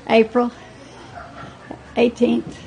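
Elderly female interviewee, eighties, unhurried delivery with a gravelly creak. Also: on the high side, monotone, a little mellow, muffled recording. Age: 80s. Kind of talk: reminiscing in a group interview.

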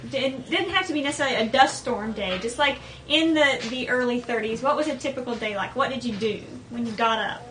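A woman's voice: a little high, fairly quick, few pauses, a bit bright. A woman conducting an oral history interview, putting questions to a group. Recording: distant mic, some background noise.

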